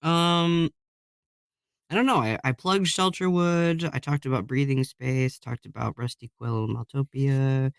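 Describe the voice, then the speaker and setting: A man's voice: medium pitch, steady tone, unhurried, creaky, clear, resonant tone, no echo, clean studio sound. A man chatting casually as a podcast guest, rambling through anecdotes and acting out the lines he quotes. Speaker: podcast guest, voice actor and narrator.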